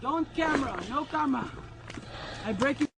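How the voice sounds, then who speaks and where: A man shouting angry curses and threats at someone filming him outdoors. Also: extremely high pitch, normal speed. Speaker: settler confronting activists. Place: outdoors on farmland. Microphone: handheld camera held by another person. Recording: outdoor camcorder audio with noise.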